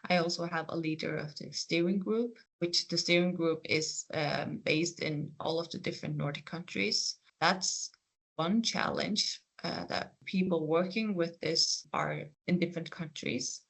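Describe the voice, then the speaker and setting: A speaker from the Faroe Islands whose first language is Faroese, with a Faroese accent, answering career interview questions in an earnest, reflective, gently encouraging way. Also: medium pitch, measured pace, vocal fry. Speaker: programme coordinator and PhD researcher.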